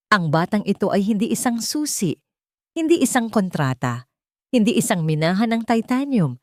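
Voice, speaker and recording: Low-voiced Filipino woman narrating, dry sound. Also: darkish tone, no echo. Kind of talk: scripted dramatic storytelling narration.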